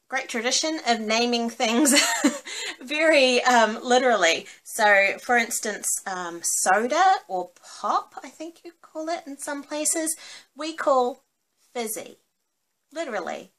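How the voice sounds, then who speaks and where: A woman's voice: fairly high pitch, lively intonation, slow, a bit bright. A woman with a New Zealand accent giving a casual, cheerful craft demonstration in an informal, chatty way. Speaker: craft tutorial host.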